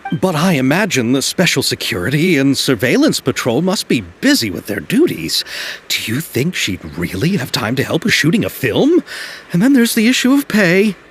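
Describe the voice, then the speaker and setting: A man's voice: fairly high pitch, sing-song, normal speed, slight background noise. A man playing a polite, earnest and modest filmmaker in a video game, courteous and thoughtful. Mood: concerned, polite.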